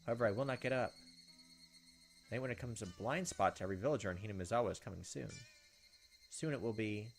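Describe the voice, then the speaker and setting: A man's voice: lowish voice, fast talker, gravelly, pauses often. A man reading visual novel text aloud as a let's player, with casual asides. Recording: game music behind the voice. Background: video game music.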